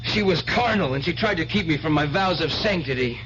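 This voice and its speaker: A self-righteous stage mentalist in an old radio drama. His voice is high and declamatory, full of fanatical piety. The recording is dull and very noisy, with hum. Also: animated, fairly quick, fairly bright tone. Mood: anguished confession. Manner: theatrical, declamatory showman. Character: fanatical stage mind reader, tormented, pious, self-righteous killer.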